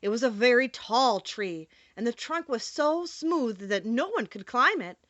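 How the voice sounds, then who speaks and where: A woman narrating a children's storybook in an expressive, theatrical read-aloud style. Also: fairly high pitch, unhurried, dry recording, muffled recording. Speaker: audiobook narrator.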